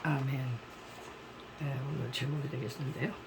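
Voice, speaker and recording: A male pastor preaching a sermon, hoarse from a cold, talking haltingly with many fillers and pauses. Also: medium pitch, steady tone, noisy background. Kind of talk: sermon with prayer.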